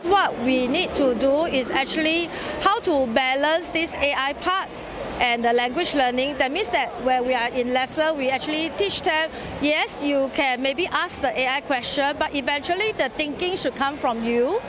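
A woman's voice: high-pitched, moderate pace, crowd noise, noisy background. A woman teacher explaining her view earnestly in an interview, with a few restarts. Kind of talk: interview soundbite.

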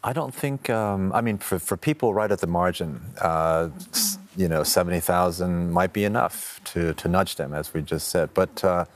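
A man speaking as a panel commentator in an unscripted discussion, with a hesitant, halting delivery. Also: low voice.

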